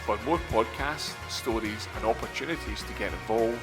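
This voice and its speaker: A man reading a scripted podcast introduction in a smooth, even voice. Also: fairly low pitch, normal speed. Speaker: podcast announcer. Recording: voice over music.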